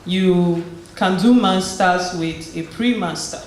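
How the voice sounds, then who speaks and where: A woman's voice: lowish voice, slow pace, a little mellow. A woman tells her own story to an audience in a chatty, storytelling way, often stumbling and restarting mid-phrase. Her voice rings around a very echoey hall. A faint hum of noise sits underneath. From Nigeria. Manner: conversational storytelling with frequent restarts. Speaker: guest speaker at a community event. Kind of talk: personal testimony and encouragement.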